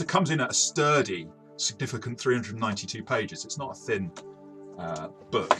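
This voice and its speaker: A man with a fairly low, bright-edged voice chats through a role-playing game review as co-host. His delivery is casual and halting, with frequent false starts. Music plays quietly behind him.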